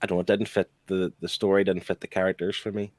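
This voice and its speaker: A man with a low voice chats casually as a show panelist, giving blunt opinions in plain conversational terms. The recording is dry and close, with little room sound. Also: little pitch movement, quick pace, no room sound. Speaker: comic book show panelist.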